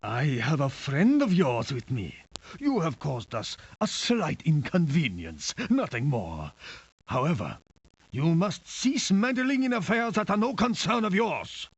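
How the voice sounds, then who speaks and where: Gravelly, menacing crime lord. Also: male voice, fairly high pitch, sing-song, measured pace, heavily acted. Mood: cold threat. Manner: formal, threatening, theatrical. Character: menacing male cult crime lord, cold and grandiose. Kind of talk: villain dialogue in a video game. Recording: dull, lo-fi compressed game audio.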